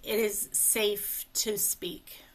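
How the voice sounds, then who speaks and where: A woman speech coach leading a guided tapping session, speaking slowly and calmly in short phrases for a client to repeat, over a video call. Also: mid-range voice, whispered. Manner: slow, calm, leads phrases for repetition.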